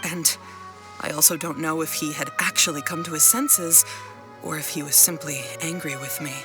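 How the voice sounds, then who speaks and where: A woman playing a worried, gentle but firm middle-aged wife and mother in a video game, speaking in an earnest, measured way. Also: sits low, steady tone, music in the background, lightly acted. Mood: regretful, worried.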